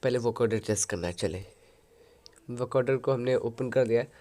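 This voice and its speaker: A man hosting a software tutorial, explaining an audio editor step by step into a headset mic. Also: medium pitch, normal speed. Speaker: tutorial host.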